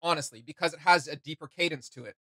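Bright-voiced young man chatting casually. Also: a little high, fast, dry recording, clean studio sound. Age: young adult. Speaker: reaction video host. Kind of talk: casual reaction chat. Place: small indoor room with gaming setup.

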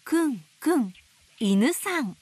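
A woman playing a gentle, motherly owl teacher who reads picture books to toddlers with playful sound words. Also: high-pitched, very animated, slow, slightly rough, full character voice. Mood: playful storytelling. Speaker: picture book storyteller for babies. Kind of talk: picture book read-aloud for toddlers. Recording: faint background noise of nature. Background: nature sounds.

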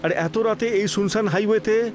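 A young male driver, acted by a man, speaks in a high, questioning voice. He is animated, curious and easily startled, in horror-cartoon style with faint music behind him. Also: moderate pace, lightly acted. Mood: alarmed, puzzled.

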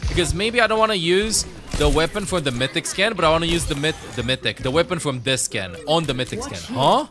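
A man's voice: fairly high pitch, animated, a little fast. A man in his thirties giving casual, informal gameplay commentary, thinking aloud into a close desk mic. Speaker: gaming streamer. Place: small indoor streaming room. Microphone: close desk microphone on boom arm. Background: loud game music and sounds.

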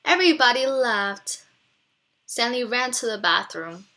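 Female teacher, bright clear-cut tone, expressive picture-book read-aloud with explanatory asides. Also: on the high side, measured pace. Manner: expressive, explains to young listeners with check-in questions.

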